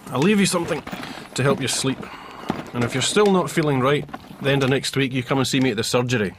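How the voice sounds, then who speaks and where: An actor playing a patient, polite young doctor with a Scottish accent in a period radio drama. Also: male voice, mid-range voice, fast, a little hiss or hum, natural voice. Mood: reassuring.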